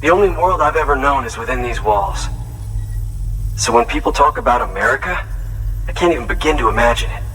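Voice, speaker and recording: An actor playing an earnest, grateful shelter-born inventor in a video game, speaking in an emphatic, conversational way. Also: male voice, mid-range voice, expressive, a little fast, sharp tone, recorded from a distance, a little hiss or hum, rough recording. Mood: wistful, resolved. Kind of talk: video game cutscene acting.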